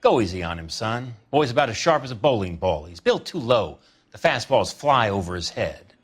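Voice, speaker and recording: A man's voice: fairly low pitch, big pitch swings, moderate pace, husky. An actor playing a folksy, wisecracking used car salesman in a 1940s American crime game, with drawling showman's patter. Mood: mocking. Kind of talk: video game cutscene acting, 1940s American setting.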